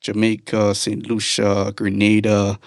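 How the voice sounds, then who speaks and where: A man's voice: on the low side, completely flat delivery, a little slow, dry recording, clean studio sound. A man in his thirties with a Trinidadian accent, a podcast guest talking in an informal, slangy, appreciative way. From Trinidad and Tobago. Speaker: podcast guest, motivational speaker and coach. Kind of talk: casual chat.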